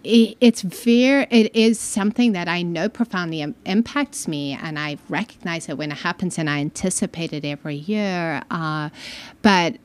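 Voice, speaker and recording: Thoughtful woman from Malawi, lowish voice. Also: normal speed. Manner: thoughtful, explanatory, often checks in with "right?". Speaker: university dean, podcast guest. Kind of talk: conversational interview.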